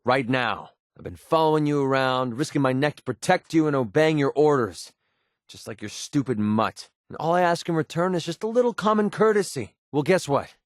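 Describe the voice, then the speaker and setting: An actor voicing a wry, prickly young mercenary sniper with a hidden soft side in a video game, sarcastic and conversational. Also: male voice, fairly high pitch, moderate pace, no room sound, studio quality, close to an everyday voice. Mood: angry, frustrated. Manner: sarcastic, conversational, emotionally expressive. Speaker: video game companion character. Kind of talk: naturalistic video game voice acting.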